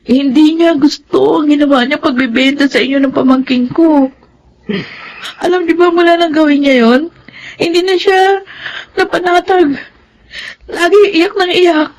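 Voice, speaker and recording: A woman's voice: high-pitched, steady tone, moderate pace, pauses often, a little hiss or hum, rough recording. A woman playing a caring elder sister in a radio melodrama, polite and emotive. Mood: concerned. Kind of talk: Filipino radio melodrama acting.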